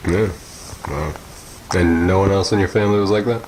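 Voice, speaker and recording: Low voiced guy in his 30s, chill interviewer, clicky noisy call audio. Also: a little fast, a little mellow. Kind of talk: casual chat interview.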